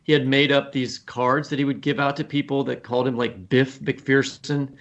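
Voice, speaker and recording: A man's voice: medium pitch, level tone, moderate pace, lo-fi sound. A man speaking as a podcast guest, a writing teacher telling anecdotes in an informal, self-deprecating, salty way. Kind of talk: casual interview chat, anecdotal.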